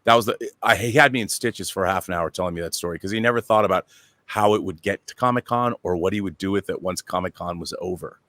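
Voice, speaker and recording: A man's voice: low voice, fast talker, a bit bright, no room sound. A middle-aged man talking casually as a panel guest, telling detailed stories with enthusiasm. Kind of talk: casual anecdotal talk.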